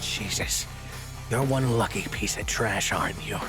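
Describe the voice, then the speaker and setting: A man playing a tough, cool and dangerous character in an audio drama, with a measured delivery. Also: fairly low pitch, music in the background, close to an everyday voice. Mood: cool taunting. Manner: measured, taunting.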